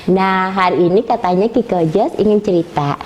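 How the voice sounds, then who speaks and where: A woman hosting a children's storytelling show, animated and coaxing, prompting a young storyteller. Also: medium pitch, moderate pace, muffled recording. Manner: animated, coaxing, often laughing. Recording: faint background noise.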